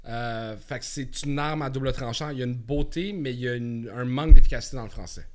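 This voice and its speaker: A Québécois man in his thirties chatting casually and telling stories in an animated way, repeating words for emphasis. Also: medium pitch, fast. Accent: Québécois French. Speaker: guest, stand-up comedian. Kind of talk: casual chat with storytelling.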